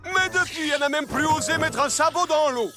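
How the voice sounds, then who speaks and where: A man's voice: extremely high pitch, a little fast. A man voicing a sly, grandiose huckster pony, mock-courteous, in a French cartoon dub. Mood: sly, persuasive. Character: sly, theatrical male huckster pony, falsely polite. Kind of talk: animated cartoon dubbing. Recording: background music under the voice.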